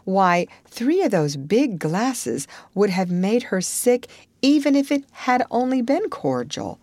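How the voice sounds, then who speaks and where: A woman voicing Marilla, a stern, practical older woman who scolds briskly. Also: medium pitch, very animated, a little mellow, dry recording. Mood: scolding.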